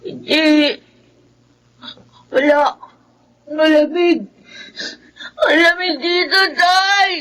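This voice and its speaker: A frightened little boy in a radio horror drama. The voice is extremely high and whimpering, with very slow, drawn-out words. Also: a bit bright, heavily acted, rough recording. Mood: scared, tearful. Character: frightened little boy lost in cold darkness.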